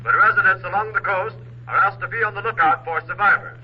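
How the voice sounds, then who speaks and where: An actor playing a formal, urgent news announcer reading a 1940s radio bulletin. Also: male voice, high-pitched, steady tone, quick pace, lightly acted. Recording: muffled radio sound, faint hum and noise.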